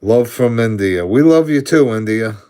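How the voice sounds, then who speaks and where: A man's voice: medium pitch, animated, normal speed, mellow, clean tone. A man in his sixties from Brooklyn, New York, with a Brooklyn accent, chatting casually on a live stream, answering viewer comments aloud and chuckling often.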